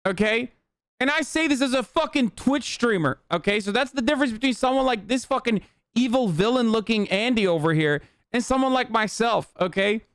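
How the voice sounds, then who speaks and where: A man's voice: extremely high pitch, expressive, fairly bright tone, clear, resonant tone, no echo, clean studio sound. A man whose first language is Turkish, ranting heatedly and profanely while reacting on a live stream. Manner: profane, heated, rapid rants. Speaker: Twitch streamer.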